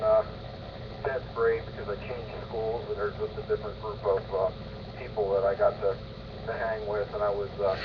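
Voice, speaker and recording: Low-voiced man on scratchy ham radio. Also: muffled recording. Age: 50s. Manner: halting, with long hesitant pauses. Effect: two-way ham radio. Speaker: amateur radio operator. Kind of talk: casual radio chat, advice-giving. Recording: scratchy, noisy band-limited mobile radio.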